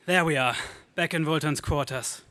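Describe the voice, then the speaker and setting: A man's voice: fairly high pitch, moderate pace, pushed and bright, no room sound. A man playing a gallant young space hero, speaking earnestly and declaiming in 1930s radio serial style. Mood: urgent.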